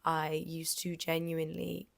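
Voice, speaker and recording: A young woman with a low, slightly croaky voice talks alone on her podcast, open and chatty, with plenty of ums, likes and the odd swear word. She is from Jersey, and her voice sounds close and dry, with no room around it. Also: monotone, measured pace, dry recording. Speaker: podcast host. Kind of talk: casual solo podcast monologue.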